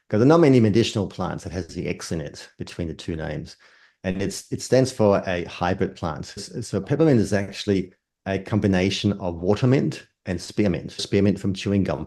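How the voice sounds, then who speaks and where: An older man from Denmark, a herbalist calmly explaining remedies in an interview, defining terms as he goes. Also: low-pitched. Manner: measured, explains terms step by step.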